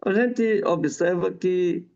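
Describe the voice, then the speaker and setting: A man's voice: high-pitched, slow, vocal fry, darkish tone. A man explaining a health topic in a casual, rambling chat, often adding a questioning "right?". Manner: rambling, many tag questions. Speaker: guest explaining homeopathy. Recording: dull lo-fi sound.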